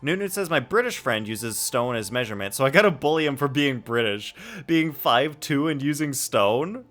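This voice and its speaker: A man's voice: medium pitch, animated, moderate pace, a bit bright, faint music behind. A young man streaming a game, chatting casually and playfully with viewers and joking as he reacts. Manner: playful, jokey, reads chat aloud.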